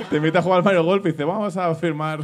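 A man's voice: fairly high pitch, fast. A man co-hosting a casual comedy podcast on a small bar stage, rambling, laughing often and addressing everyone as mate. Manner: rambling, peppers speech with "tío", bursts of laughter. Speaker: podcast co-host.

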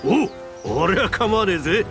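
An actor playing a burly, brash, friendly warrior in a video game, with rough, boisterous colloquial speech. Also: male voice, sits high, lively intonation, normal speed, over music. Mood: hearty, brash. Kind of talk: video game cutscene voice acting.

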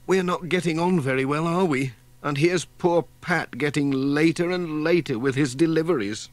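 A man's voice: fairly high pitch, normal speed, dry recording, pitched above the performer's own voice, natural voice. A man voicing a sensible, motherly, mildly fussing village woman in a children's storybook. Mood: mildly worried.

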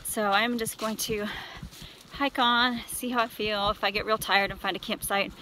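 Casting: tired middle-aged American woman, medium pitch, casual hiking vlogger, noisy outdoor phone sound. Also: steady tone, normal speed, pushed and bright. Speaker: thru-hiker vlogger. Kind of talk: casual trail vlog to camera. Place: outdoors on a wooded mountain trail. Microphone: phone at arm's length.

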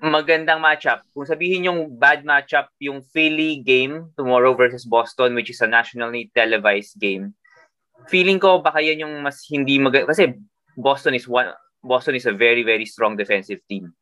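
A man's voice: medium pitch, moderate pace, sharp tone, no room sound. A man with a Filipino accent co-hosting a fantasy basketball show, mixing Tagalog and English and thinking aloud with fillers. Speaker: fantasy basketball podcast co-host. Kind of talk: casual analytical chat.

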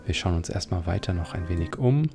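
Deep, gravelly man commenting on a game. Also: lively intonation, a little fast, a little mellow, soft background music. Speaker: let's player.

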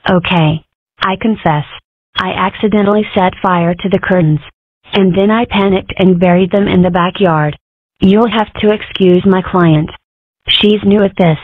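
Woman as stilted, wry comic-strip fox, medium pitch, narrow phone-like recording. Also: fairly even intonation, normal speed, no echo, close to an everyday voice. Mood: earnest. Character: wry young fox girl and her fox mother. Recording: muffled, narrow phone-like sound, close and dry.

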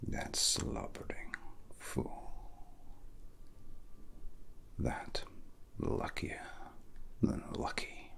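A young man voicing a cold, megalomaniac male villain in a soft, breathy, threatening ASMR whisper, slow and theatrical. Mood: smug menace. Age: young adult.

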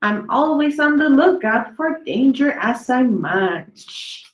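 A woman with a fairly high voice reads a children's picture book aloud. She takes it very slowly, stretching words out for young listeners. The recording is clearly echoey, as in a small bare room. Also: animated, faint music behind. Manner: slow, deliberate delivery with drawn-out words for young listeners. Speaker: children's story reader. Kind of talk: read-aloud of a children's dinosaur poem book.

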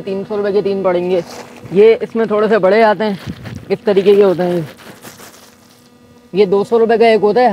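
A man, a shop owner calmly showing baby clothes in his market shop and quoting prices item by item. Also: high voice, slight background noise, rough recording. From Pakistan. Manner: patient, listing items one by one. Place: busy market shop indoors.

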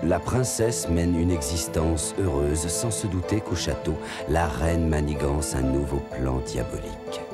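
A man's voice: a bass voice, a little slow, few pauses. A man narrating a children's cartoon as a grave, dramatic storyteller over music. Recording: loud music behind the narration.

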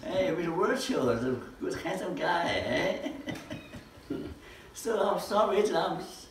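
A man's voice: sits high, sing-song, unhurried, slight background noise. An older man giving an informal lesson in a living room, asking rhetorical questions and gesturing broadly, recorded by a camera across the room. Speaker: lecturer. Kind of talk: informal teaching talk. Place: living room with projector screen. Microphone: far camera. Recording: echoey room from far off.

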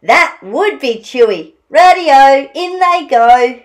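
A woman's voice: high-pitched, lively intonation, takes it slowly, pitched above the performer's own voice. A woman voicing a boastful, gleeful male dingo from a storybook, exclaiming in an exuberant, sing-song way. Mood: delighted, boastful.

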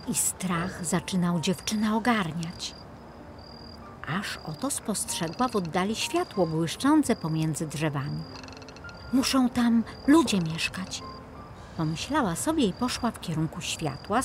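A woman narrating a scripted children's fairy tale in a measured storytelling manner. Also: fairly low pitch. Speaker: audiobook narrator.

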